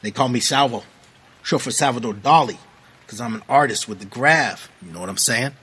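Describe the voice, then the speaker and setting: An American actor playing a jittery, newly dead young male graffiti artist in an audio drama, with halting street slang. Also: medium pitch, sharp tone, husky. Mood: wary, curious. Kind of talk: naturalistic audio drama dialogue.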